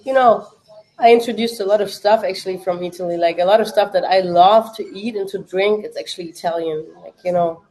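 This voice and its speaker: A woman's voice: mid-range voice, a little fast, a little mellow, rough recording. Young German-accented woman, casual stream host, loose and chatty, lots of fillers. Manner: chatty, many fillers, answers chat. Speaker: livestream watch-party host. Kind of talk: casual livestream chat.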